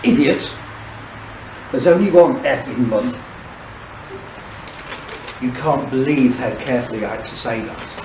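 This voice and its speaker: A man's voice: mid-range voice, expressive, measured pace, long pauses, a little mellow, close to an everyday voice. A man playing a scheming, mocking sheriff villain in theatrical pantomime style. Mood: exasperated mocking. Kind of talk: stage pantomime acting. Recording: echoey room, distant mic, hiss.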